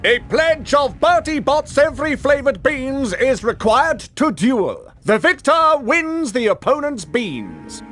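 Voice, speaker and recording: High-voiced, pompous showy teacher. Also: male voice, big pitch swings, takes it slowly, hardly any pauses, fairly bright tone, soft background music, heavily acted. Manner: pompous, theatrical. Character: vain, pompous, showy male duelling teacher. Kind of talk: video game character acting.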